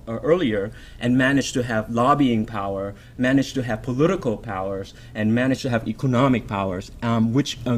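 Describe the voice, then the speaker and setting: Vietnamese-born man answering questions at a podium. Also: medium pitch. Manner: thoughtful, many ums and restarts, laughs easily. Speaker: author answering audience questions. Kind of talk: public Q&A. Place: lecture room at a podium. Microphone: podium mic.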